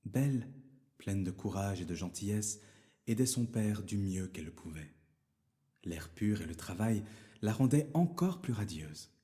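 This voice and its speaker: A man narrating a fairy tale for children as a storyteller, in a theatrical, expressive manner. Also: on the low side, a little slow.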